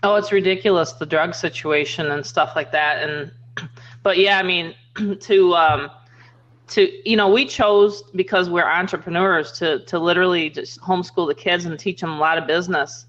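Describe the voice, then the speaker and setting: A woman's voice: on the low side, fairly even intonation, normal speed, a bit bright, highs cut off. A woman hosting a casual online group chat, talking in an opinionated, rambling way with many "you know"s over a video call. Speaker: host.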